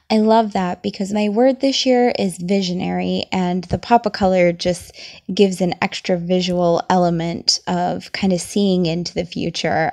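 A young woman talks through her craft steps in a chatty, step-by-step voice-over. Her voice is a little raspy and gravelly today. She sits at a middling pitch. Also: a little mellow. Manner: chatty, enthusiastic, explains each step. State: losing her voice, slightly raspy. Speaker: journaling vlogger.

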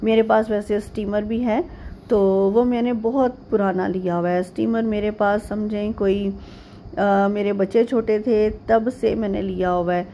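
A middle-aged woman from Pakistan narrating a home vlog in a rambling, chatty way, with frequent pauses and fillers. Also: mid-range voice, fairly even intonation, lo-fi sound, slight background noise. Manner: homely, chatty tone. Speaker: vlogger. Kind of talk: casual chatty voice-over narration.

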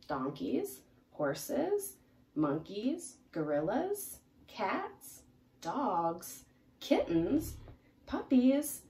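A young adult woman presenting a picture-book read-aloud in an expressive, emphatic way for young children. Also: mid-range voice. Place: small indoor room, seated in armchair. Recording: roomy, distant mic, faint noise.

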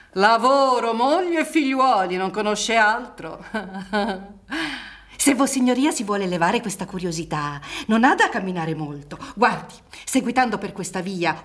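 An actress in a radio drama playing a brisk, cynical village woman, theatrical, with drawn-out words and scornful laughs. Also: a little high, a bit bright. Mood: scornful, animated.

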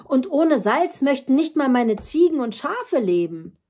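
A woman reading a storybook as a cheeky, clever shepherd boy, lively, emphatic and incredulous. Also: sits high, unhurried, pitched above the performer's own voice, exaggerated voice.

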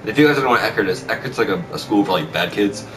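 A man's voice: lowish voice, expressive, very fast, noisy background. A young man chatting casually in a small bedroom, telling stories in a brash, sweary way and repeating himself. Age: teenager or young adult. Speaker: vlog host telling school stories. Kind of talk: casual storytelling chat. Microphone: camera mic at a distance. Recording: distant mic with loud music.

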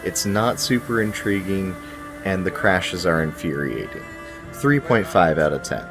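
A man's voice: deep voice, lively intonation, unhurried. A man in his thirties giving a scripted video game review in a wry, casual, emphatic way. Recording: music behind the voice. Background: music.

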